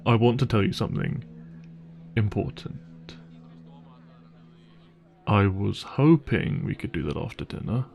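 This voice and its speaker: A man playing a wealthy, caring young boyfriend in an intimate audio roleplay, speaking in a gentle, hesitant and reassuring way. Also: lowish voice, animated, unhurried, creaky, long pauses, dull audio, slight background noise. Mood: tender, serious.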